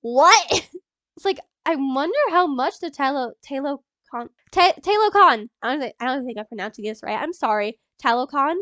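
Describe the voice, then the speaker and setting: A young woman with a high voice and a stuffy, congested nose from a cold, chatting excitedly to camera. She rambles, restarts and laughs between thoughts. The sound is close and dry, with no room around it. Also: normal speed, no echo. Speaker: YouTuber. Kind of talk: casual movie reaction vlog.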